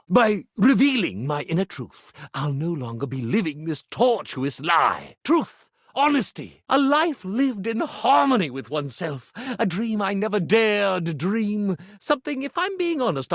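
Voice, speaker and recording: A man voicing a pompous, insecure stage magician for a video game, with grandiose, florid delivery and dramatic pauses. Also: high voice, dry recording, studio quality, full character voice. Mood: grateful, florid. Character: pompous theatrical iron-turned-stage-magician, melodramatic and insecure. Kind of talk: video game character acting.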